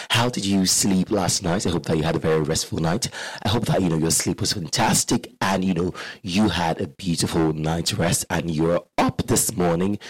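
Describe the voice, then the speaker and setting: Hoarse Nigerian radio host, deep voice. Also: male voice, no echo, low-quality recording. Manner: chatty, repetitive greetings, upbeat patter. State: hoarse from losing his voice. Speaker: radio morning show host. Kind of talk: radio show monologue.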